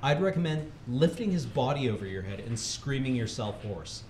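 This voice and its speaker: A man's voice: mid-range voice, expressive, normal speed, darkish tone. Man reading comedy aloud, echoey room. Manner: mock-serious instructional delivery; often breaking into laughter. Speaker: reader at a charity livestream. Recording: echoey room with faint background noise.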